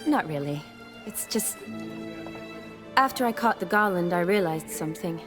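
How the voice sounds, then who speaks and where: A woman's voice: fairly low pitch, expressive, moderate pace, music in the background, lightly acted. A woman playing a frank, affectionate young medic in a video game, wry and wistful. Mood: melancholy. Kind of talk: video game dramatic acting.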